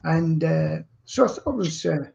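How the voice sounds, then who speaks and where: The sound is dull and lo-fi, like a live streaming call. A co-host talks in a casual, rambling way at an average pitch, drifting between anecdotes. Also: animated, normal speed, gravelly, darkish tone. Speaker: co-host of online chat show. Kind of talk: casual chat. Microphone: live streaming video call.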